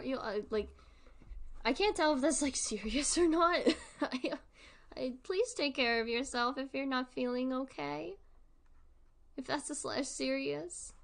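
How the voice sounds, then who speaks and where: A woman streamer giving casual livestream commentary in an informal, rambling, giggly way. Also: fairly high pitch.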